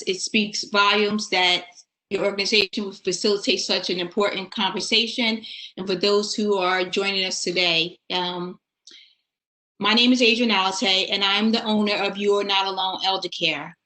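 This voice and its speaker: A woman end-of-life doula on a video call, giving composed, measured, heartfelt remarks about her work. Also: mid-range voice, steady tone, pushed and bright, echoey room. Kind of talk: prepared panel remarks.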